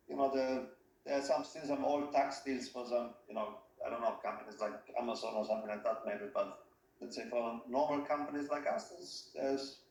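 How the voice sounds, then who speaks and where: A man from Austria speaks as an interview guest at a middle pitch, with plenty of room echo around his voice. He talks haltingly and keeps restarting his phrases as he feels his way toward each point. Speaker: interview guest, company head. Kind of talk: casual interview talk. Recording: roomy echo.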